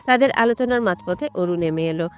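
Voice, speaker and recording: A woman's voice: lowish voice, lively intonation, a little fast. A woman reading a scripted romantic story as its narrator.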